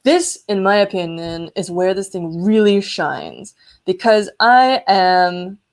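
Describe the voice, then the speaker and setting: A woman hosts a casual maker livestream with plenty of enthusiasm. Her voice sits at an ordinary pitch, and she talks informally, wandering from point to point as she demonstrates electronics. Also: animated, takes it slowly. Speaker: maker hosting a live electronics show.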